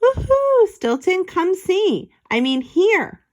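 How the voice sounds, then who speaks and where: A clever, self-assured rat detective from a children's storybook, acted out by a woman. He speaks in a grandiose, commanding way, with excitable swings of pitch. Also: slow, heavily acted. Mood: excited, calling out.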